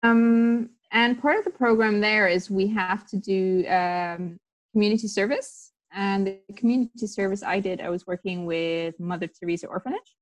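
This is a woman in her thirties, raised in Tanzania, guesting on a sports podcast. She tells stories loosely, full of ums and restarts, at a middling pitch. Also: normal speed, low-quality recording. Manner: chatty storytelling with many fillers and restarts. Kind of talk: casual interview talk.

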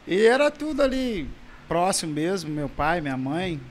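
A Brazilian man in his forties, a pastor, talking casually in an interview about his family and childhood, halting with restarts. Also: sits high, sing-song, a little hiss or hum. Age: 40s. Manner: halting, with frequent restarts and soft laughs.

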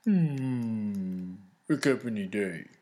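A man playing a big, good-natured, slow-witted gluttonous dragon in a children's audio drama. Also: lowish voice, expressive, dark tone. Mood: pondering.

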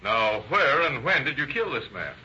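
An actor playing a dry, courteous police detective lieutenant, polite and unruffled, in an old-time radio drama. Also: male voice, mid-range voice, very animated, normal speed, bright, forceful tone. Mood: probing. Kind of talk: 1930s-40s radio drama acting. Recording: muffled old radio recording, faint noise.

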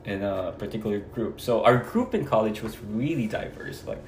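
A man's voice: lowish voice, expressive, moderate pace, roomy sound, slight background noise. A man from the Philippines with a Filipino accent, speaking hesitantly with many fillers as an interview guest. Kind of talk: casual personal conversation.

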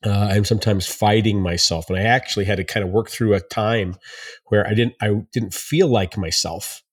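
A man's voice: lowish voice, fairly even intonation, moderate pace, breathy edge, no room sound, studio quality. A man co-hosting a podcast, talking in an earnest, reflective way. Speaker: podcast co-host. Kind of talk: casual conversation.

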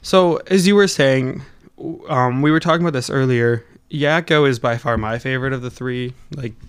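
A man's voice: mid-range voice, clear, resonant tone, no room sound. Rambling young man with a Wisconsin accent. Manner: rambling, self-correcting, quick to laugh. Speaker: podcast co-host. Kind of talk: casual chat.

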